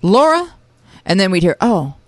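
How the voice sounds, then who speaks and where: A woman's voice: medium pitch, very animated, no room sound. Chatty, teasing middle-aged woman co-host. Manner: quick, chatty, playful teasing. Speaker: podcast co-host. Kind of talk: casual chat. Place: studio. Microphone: studio microphone.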